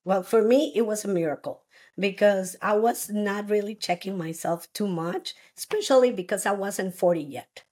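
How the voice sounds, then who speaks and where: A woman's voice: medium pitch, a little mellow. Earnest woman from Costa Rica. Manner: halting, earnest, many restarts. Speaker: guest. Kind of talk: personal story in an interview.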